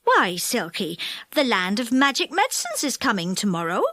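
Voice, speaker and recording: Woman acting an old washerwoman, kindly, homely, contented, lively storybook delivery. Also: a little high, normal speed, dry recording, clean studio sound, exaggerated voice.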